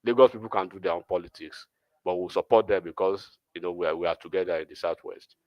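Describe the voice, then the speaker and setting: A man's voice: low-pitched, steady tone, fast, no echo, highs cut off. A Nigerian man with a Yoruba accent, hosting a casual political discussion and talking in long, rambling runs full of restarts. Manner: rambling, many restarts and fillers.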